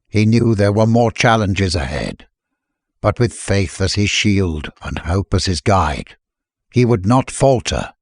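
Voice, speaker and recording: A man's voice: fairly low pitch, animated, a little slow, no room sound, clean studio sound. A man reading scripted fiction as a narrator, in dramatic audiobook style.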